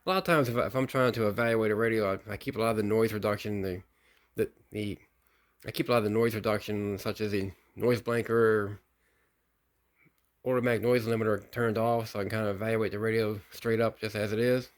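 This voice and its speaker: A man casually narrating a hobbyist review in an unhurried, folksy, explanatory way. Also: fairly low pitch, fairly even intonation. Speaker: radio hobbyist presenting a video.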